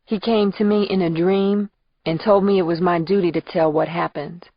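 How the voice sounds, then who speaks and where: Woman acting nervous young female witness, hesitant, earnest, husky, audiobook courtroom drama. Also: fairly low pitch, fairly even intonation, moderate pace, no room sound, highs cut off. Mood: fearful, earnest.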